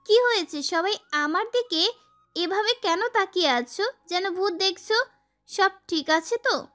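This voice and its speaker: A woman acts a sweet, pert young daughter in an audio story. The voice is extremely high and bright, with girlish expression. Soft music plays behind it. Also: lively intonation, unhurried, full character voice. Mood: puzzled. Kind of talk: amateur audio story acting. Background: background music.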